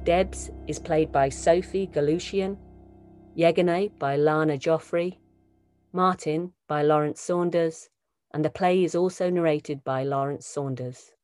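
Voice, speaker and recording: Woman doing end credits, mellow low voice, scripted read, soft music under. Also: steady tone, unhurried. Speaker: credits announcer.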